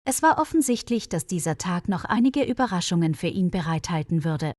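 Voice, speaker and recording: A woman narrating a scripted story in a clear, measured way for learners. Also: animated, darkish tone, dry recording, studio quality. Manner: clear, measured reading with quoted dialogue lifted higher.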